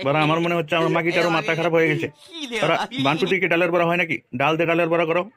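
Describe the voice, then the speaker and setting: A man's voice: fairly high pitch, little pitch movement, very fast, crowd noise, natural voice. A man voicing grumpy, foul-mouthed village men in a comic cartoon, coarse and exasperated.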